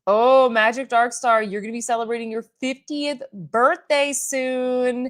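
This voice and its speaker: A woman's voice: on the high side, fairly even intonation, a little slow. Woman, thirties, beauty livestream host, casual rambling chat with viewers, conversational and unscripted. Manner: chatty and rambling, with frequent "like" fillers. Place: at home doing makeup in front of a mirror.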